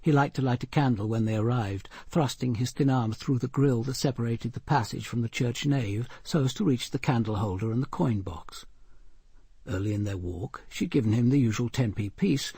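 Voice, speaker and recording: An actor playing a composed, observant senior detective, narrating a murder case in a measured, deliberate radio drama style. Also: male voice, medium pitch, mellow, husky, dry recording, studio quality. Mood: grave, measured. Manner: deliberate, precise, grave.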